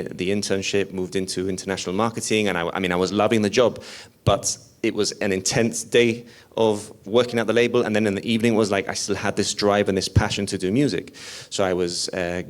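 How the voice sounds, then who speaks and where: Low-voiced man telling casual anecdotes. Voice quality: slightly whiny. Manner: self-deprecating. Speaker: interview guest, musician. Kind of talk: casual anecdotal interview talk.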